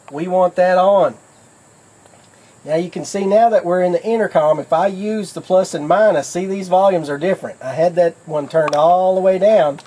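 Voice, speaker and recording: A man with a slightly high voice walks through a how-to demonstration. His delivery is casual, folksy and plain-spoken, explaining each step simply. There is some faint noise behind him on the recording. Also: mellow. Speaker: product demonstrator.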